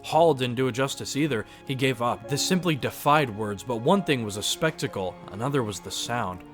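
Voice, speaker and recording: A man's voice: medium pitch, expressive, moderate pace. A man reading scripted fantasy adventure narration as the storyteller. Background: music.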